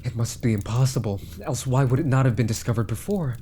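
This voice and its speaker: A man playing an arrogant, scheming immortal mage minister in an ASMR roleplay, haughty and deliberate, trailing into hushed asides. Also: medium pitch, slight background noise, close to an everyday voice. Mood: smug, gloating. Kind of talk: ASMR roleplay villain monologue.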